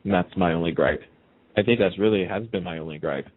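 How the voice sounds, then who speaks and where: A man's voice: sits low, fast talker, husky. A man chatting casually and emphatically, giving his opinions as a participant on a radio show. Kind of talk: casual chat about TV soap storylines. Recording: muffled narrow phone-like line.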